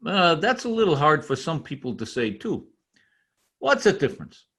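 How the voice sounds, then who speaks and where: Excitable husky-voiced foreign immigrant. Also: male voice, on the high side, full character voice. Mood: cheerful. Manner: halting, simple English, earnest and enthusiastic. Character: excitable, proud immigrant tradesman, newly a citizen. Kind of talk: old-time radio comedy acting.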